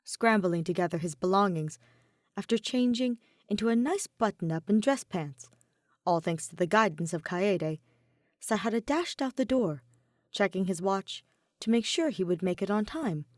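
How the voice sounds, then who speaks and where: Woman reading a story aloud, really animated pitch, dry close sound. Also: normal speed, dry recording. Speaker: podfic narrator. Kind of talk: scripted fiction narration.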